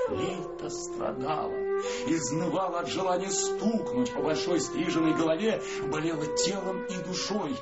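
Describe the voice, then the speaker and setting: A man's voice: on the high side, highs cut off. A man narrating a scripted story as a dramatised radio performance.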